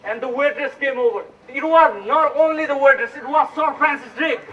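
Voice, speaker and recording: Young guy acting rambly dreamy stage comedy character, high voice, muffled noisy recording. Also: a little fast, distant mic. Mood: dreamy, rambling. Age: young adult. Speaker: student actor in a school play. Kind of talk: comic stage monologue. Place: school stage, recorded from afar.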